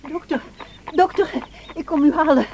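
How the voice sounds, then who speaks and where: An actress playing a devoted, troubled housekeeper who tells a tragic story in a radio drama, in a measured, confiding way. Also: female voice, sits high, a little mellow, a little hiss or hum. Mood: urgent, worried. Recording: dull old radio play recording.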